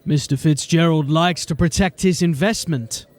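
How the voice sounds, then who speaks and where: Man acting a high-voiced, wry police sergeant. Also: moderate pace, a little mellow, natural voice. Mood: brisk, businesslike. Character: brisk, dryly authoritative male police sergeant. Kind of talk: fantasy audio drama acting.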